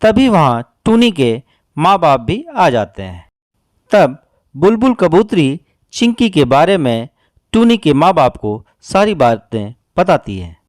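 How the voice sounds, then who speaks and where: A man tells a scripted children's story as narrator. His voice sits fairly high and swings widely in melody, with slow, measured phrasing. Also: sing-song, dry recording, studio quality.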